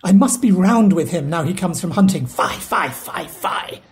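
A woman's voice: fairly low pitch, animated, moderate pace, a little mellow, lightly acted. A woman reading the part of a worried, exasperated household steward in a Shakespeare audio play.

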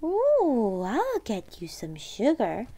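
A woman voicing a kindly, helpful animal in a picture book, obliging and deliberate. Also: on the high side, huge pitch swings, mellow, pitched below the performer's own voice, full character voice. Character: kindly helpful storybook animals offering ingredients.

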